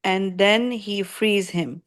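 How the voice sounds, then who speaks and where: A woman student reads a passage aloud and translates it. She goes very slowly and carefully, stopping often to find the next word. Her voice sits at a middle pitch. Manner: slow, careful, hesitant.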